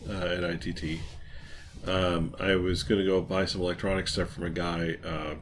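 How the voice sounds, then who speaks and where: A middle-aged man with a fairly low voice chats as a co-host. He rambles informally through anecdotes. Some background noise and room ambience sit behind him. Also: flat delivery, moderate pace. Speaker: co-host of an old tech show. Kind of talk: casual anecdotal chat.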